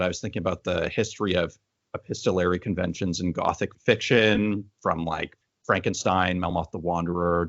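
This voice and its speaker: A man's voice: low-pitched, fairly bright tone, no echo, lo-fi sound. A man speaking as an interviewer in a conversational literary discussion.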